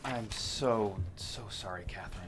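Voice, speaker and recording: An actor playing a concerned, gentle lawyer consoling a colleague in a script table read. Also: male voice, on the low side, unhurried, soft background music. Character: concerned male New York lawyer colleague on phone.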